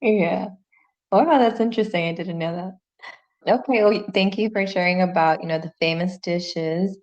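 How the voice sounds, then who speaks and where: A woman's voice: lowish voice, a little slow, darkish tone. A woman hosting a podcast interview in a casual, conversational way, on a slightly dull recording. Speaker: podcast host.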